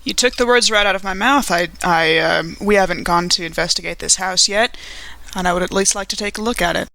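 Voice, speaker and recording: A woman's voice: fairly low pitch, a little fast, creaky, pushed and bright, dry recording, low-quality recording. A woman chatting and improvising in character as a player in a tabletop horror roleplaying game.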